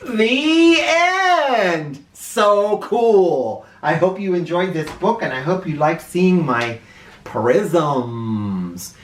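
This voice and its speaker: A man hosting a children's read-aloud, narrating a storybook in an animated style. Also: sits high, very slow. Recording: echoey room, distant mic.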